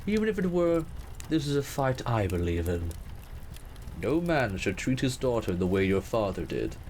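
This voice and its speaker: A man's voice: medium pitch, big pitch swings, normal speed, darkish tone, slight background noise, lightly acted. A man playing a protective, commanding king in a period romance audio drama, speaking formally and measuredly. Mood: resolute, protective. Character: protective, commanding king, teasing but fond cousin.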